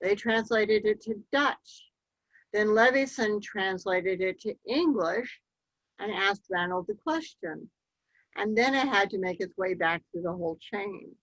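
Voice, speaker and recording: A woman giving a slide-show history talk, telling the story in a measured way with occasional ums. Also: mid-range voice, dry recording. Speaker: local history speaker. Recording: dull, lo-fi dry recording.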